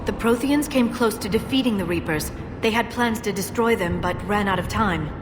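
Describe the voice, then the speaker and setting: A woman playing an earnest, soft-spoken alien scientist in a video game, measured and precise but full of self-doubt. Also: mid-range voice, background noise, lightly acted. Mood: focused, urgent. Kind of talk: dramatic video game voice acting.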